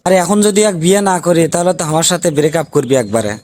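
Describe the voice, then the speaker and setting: A man acting as a high-voiced young village boyfriend in a comedy cartoon, with a North Bengal Bangladeshi accent. He whines and frets in an exasperated comic way, with clear background noise. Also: lively intonation, very fast, a little mellow, natural voice. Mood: exasperated, worried. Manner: whining, exasperated comic complaining. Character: anxious, broke young village boyfriend turned reluctant groom.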